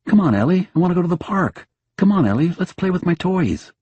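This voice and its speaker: A man voicing a pet dog who begs its owner to get up and play, his husky voice pushed much higher. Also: sing-song, fairly quick, a little mellow, no echo, heavily acted. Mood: pleading. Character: eager pet dog, female, affectionate.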